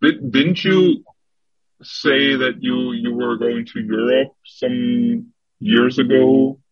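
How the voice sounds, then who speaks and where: A man's voice: mid-range voice, slow pace, breathy edge, dry recording, highs cut off, faint music behind. A man chatting bluntly and hesitantly on a video call. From Germany. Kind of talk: casual chat on a video call.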